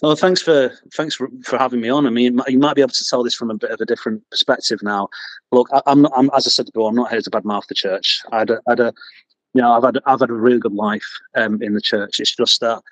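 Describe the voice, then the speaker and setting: A man's voice: medium pitch, fairly even intonation, fast talker, dry recording, poor audio. A man with a Mancunian accent, chatting as a podcast guest, hesitant with lots of ums and restarts, chuckling at himself. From England. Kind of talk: casual interview chat.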